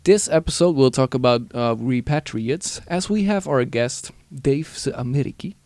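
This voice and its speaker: A man's voice: medium pitch, moderate pace, darkish tone, dry recording. A man from the Netherlands hosting a casual chat show, hesitant with dry jokes. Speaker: host.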